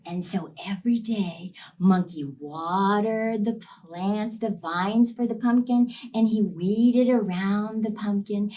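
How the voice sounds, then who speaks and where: A woman telling a children's story as a library storyteller, explaining things simply in animated phrasing. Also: medium pitch, takes it slowly, hardly any pauses, distant mic.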